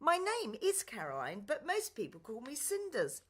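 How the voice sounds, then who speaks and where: A woman's voice: high voice, wildly swooping pitch, normal speed, fairly bright tone, full character voice. A woman voicing a kind, wistful young servant girl in a children's radio play, polite and earnest. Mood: polite, curious.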